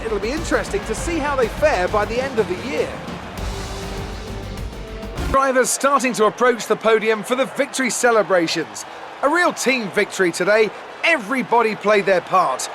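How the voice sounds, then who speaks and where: A man's voice: high voice, expressive, normal speed, music in the background. A man voicing a motor racing commentator calling the podium celebrations in a video game. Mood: measured. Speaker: race commentator. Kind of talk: sports commentary.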